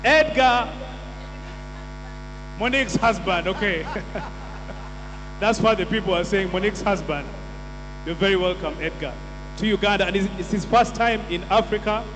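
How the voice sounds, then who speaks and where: Teasing high-voiced man MC, echoing hall. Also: moderate pace, long pauses. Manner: teasing, quizzes the audience. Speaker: event MC. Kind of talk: crowd-engaging stage hosting. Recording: reverberant hall with mains hum.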